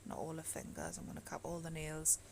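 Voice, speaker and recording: A woman talking through a home nail tutorial in a casual voice-over, husky and half-whispering, as she is coming down with a cold and losing her voice. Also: deep voice, level tone, a little fast, noisy background. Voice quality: gravelly, often slips into whisper.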